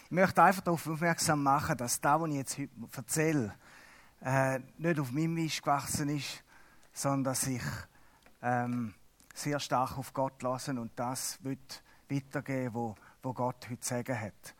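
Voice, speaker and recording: A middle-aged Swiss man whose first language is Swiss German, giving a chatty, halting lay sermon with self-mocking humor. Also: mid-range voice, steady tone, fairly bright tone. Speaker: lay preacher.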